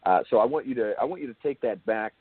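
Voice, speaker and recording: A man hosting a conversational interview, halting as he circles back and repeats his phrases. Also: mid-range voice, animated, slightly rough, no room sound, narrow, tinny audio. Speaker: show host and interviewer.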